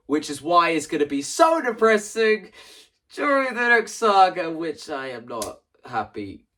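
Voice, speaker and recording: A man's voice: a little high, a bit bright, recorded from a distance. A man giving a rambling, explanatory vlog-style talk, acting out scenes as he describes a stage production. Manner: rambling, acts out scenes and quotes lines as they go. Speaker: content creator. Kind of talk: animated explanatory vlog about staging a musical.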